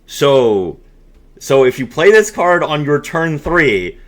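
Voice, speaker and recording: Man, online video host, very animated intonation, unhurried pace, theatrical, emphatic, casual commentary and dramatic reading. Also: fairly high pitch. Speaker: content creator hosting a card game reaction video.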